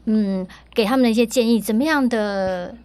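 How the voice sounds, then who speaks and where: A woman with a Taiwanese Mandarin accent hosting a podcast, listening closely with soft murmurs and asking follow-up questions. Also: fairly high pitch, normal speed, smooth. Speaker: podcast host. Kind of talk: podcast interview.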